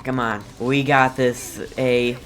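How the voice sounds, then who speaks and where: A player giving casual, halting commentary on a video game while playing, reacting in short murmured asides. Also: mid-range voice, level tone, a bit bright. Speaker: game streamer. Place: voice-over on game footage, game music behind.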